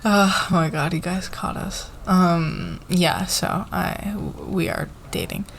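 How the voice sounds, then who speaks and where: Woman acting as unhurried, halting young-sounding company boss. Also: on the low side, sing-song, creaky, a little hiss or hum, exaggerated voice. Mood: sheepish, awkward. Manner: halting, hesitant, giggly, informal. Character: flustered, fair-minded female company boss in secret romance. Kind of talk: improvised roleplay ASMR, casual and conversational.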